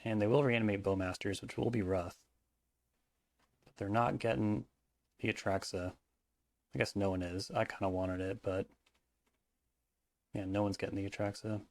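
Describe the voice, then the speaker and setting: A man with a lowish voice talks through a card game match as he plays, muttering his thoughts aloud. His voice is a little hoarse and cracks at times. The sound is close and dry, with no room around it. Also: steady tone, normal speed, pauses often, darkish tone, no echo. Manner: thinking aloud, mumbled asides. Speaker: card game player and commentator. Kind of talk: casual gameplay commentary.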